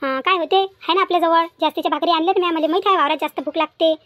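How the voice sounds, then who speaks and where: A woman's voice: very high voice, fairly even intonation, rapid-fire, no room sound, muffled recording. A woman voicing a bossy, fussing village auntie in a cartoon comedy, grumbling and chatty, with a Varhadi Marathi accent. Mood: reassuring. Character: bossy, fussing village auntie, caring about her workers.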